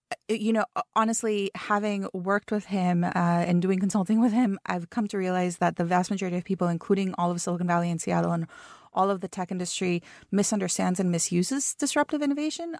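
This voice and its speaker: Low, gravelly-voiced Costa Rican woman. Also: quick pace, a little mellow, no echo, clean studio sound. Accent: near-American, slight Spanish. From Costa Rica. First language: Spanish. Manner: thoughtful, many fillers, laughs easily. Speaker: podcast guest, startup founder. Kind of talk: casual interview chat.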